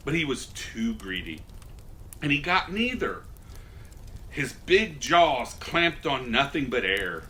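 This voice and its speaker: Slow, animated male storyteller. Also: fairly high pitch, slight background noise. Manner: folksy, theatrical. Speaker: storyteller presenting as Santa. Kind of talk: animated children's story reading.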